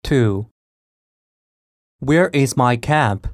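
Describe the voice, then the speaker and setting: A woman with an extremely low voice reads scripted items for a listening test. Her delivery is slow and deliberate, with each word carefully enunciated, and the sound is dry. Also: no room sound. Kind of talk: scripted language-test reading.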